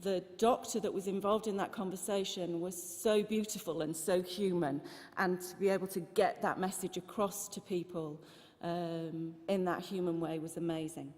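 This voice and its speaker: A woman's voice: fairly low pitch, steady tone, normal speed, darkish tone. A woman organiser giving an earnest open mic talk at a conference, with frequent ums and restarts. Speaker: festival organiser. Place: church hall conference. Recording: long reverb of a large hall.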